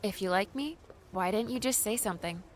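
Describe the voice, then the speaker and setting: A woman's voice: medium pitch, a little fast, a little hiss or hum, natural voice. A woman playing a feisty, blunt young woman in an audiobook, challenging and pointed in her delivery. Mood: probing, stunned. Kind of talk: audiobook character dialogue.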